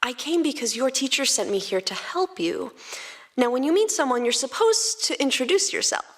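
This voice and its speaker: A woman voicing a calm, coaxing doctor with a sweet, gentle manner in a story reading. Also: high-pitched. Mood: softly welcoming. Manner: slow, gentle, sweetly patient. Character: soft-spoken woman doctor, calm, coaxing, falsely warm. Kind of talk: dramatized fan fiction reading.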